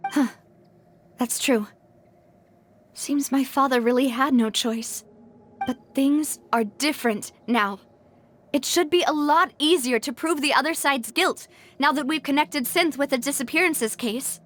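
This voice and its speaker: A high-voiced, proud young noblewoman. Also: unhurried, fairly bright tone, slight background noise. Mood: composed, resolute. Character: proud, wounded young noblewoman, a late boss's daughter. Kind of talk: dramatic video game cutscene acting.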